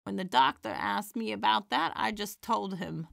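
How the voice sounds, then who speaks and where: A woman playing an elderly, kindly widowed stand-up comedienne in an audio drama, chatty and animated. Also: medium pitch, moderate pace, vocal fry, no echo, studio quality. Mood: playful, performing.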